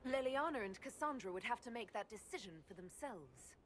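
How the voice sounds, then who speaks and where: An actress playing a composed Inquisitor in a video game, measured and direct in her questions. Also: on the high side, lively intonation, a little hiss or hum, natural voice. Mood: courteous, inquiring. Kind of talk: video game player-character dialogue.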